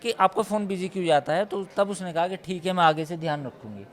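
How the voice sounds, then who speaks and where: A man's voice: sits high, fast. A man working as a news reporter gives unscripted live analysis, emphatically repeating his points and listing figures.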